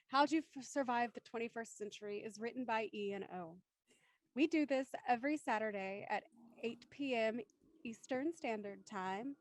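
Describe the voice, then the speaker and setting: A woman with a fairly high voice hosts a panel discussion. She speaks articulately and with emphasis, and the sound is dry and close. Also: no room sound. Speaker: host of a live show discussion. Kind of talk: panel discussion hosting and sign-off.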